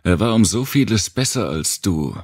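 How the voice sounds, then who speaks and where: A man voicing a harsh, reproachful inner voice that accuses a grieving survivor in a dark audiobook, with a gravelly tone. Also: fairly low pitch, expressive, moderate pace, no echo, studio quality, voice pushed up. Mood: bitter accusation. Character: accusing inner voice heard by a grief-stricken survivor.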